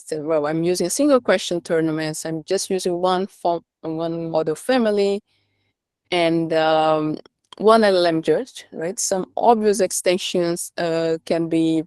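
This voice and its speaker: A woman's voice: fairly low pitch, darkish tone, no room sound, clean studio sound. A woman from Brazil, giving an academic talk, hesitant and full of restarts and tag questions. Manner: hesitant, many restarts and "right?" tags. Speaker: speaker.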